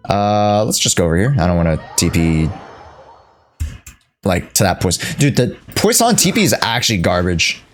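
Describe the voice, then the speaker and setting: A man casually chatting as a game streamer over gameplay, slangy and teasing. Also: on the low side.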